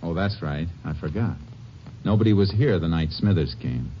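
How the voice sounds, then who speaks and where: An actor playing a calm, firm lawman with a dry wit, who also narrates in a 1950s radio drama. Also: low voice, moderate pace, slight background noise. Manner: calm, firm, dryly sardonic. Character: steady, dry-witted male Texas Ranger. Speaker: narrator and lead character. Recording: muffled old radio broadcast.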